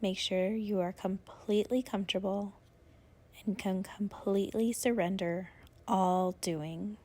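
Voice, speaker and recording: A woman's voice: mid-range voice, steady tone, darkish tone. Woman guiding a relaxation meditation. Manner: soothing, unhurried instruction with long pauses. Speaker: yoga nidra instructor.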